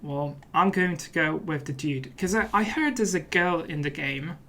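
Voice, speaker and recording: A man's voice: fairly high pitch, normal speed, bright, forceful tone. A man talks casually through a game as he plays it. His delivery is playful and often mock-serious, in the style of a let's play host. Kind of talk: casual gameplay commentary.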